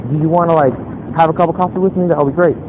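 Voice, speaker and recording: A man's voice: fairly high pitch, steady tone, rapid-fire. A young man chatting casually with someone he has approached, in a hesitant, rambling way full of fillers. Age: young adult. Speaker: pickup vlogger chatting up a stranger. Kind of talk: casual street conversation. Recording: muffled phone-like audio, loud street noise.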